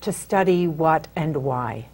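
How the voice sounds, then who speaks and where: A woman's voice: low-pitched, lively intonation, slow, a little mellow. A woman hosting a TV interview, asking her guest follow-up questions in an engaged, encouraging way. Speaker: TV interview host. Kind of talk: hosted interview.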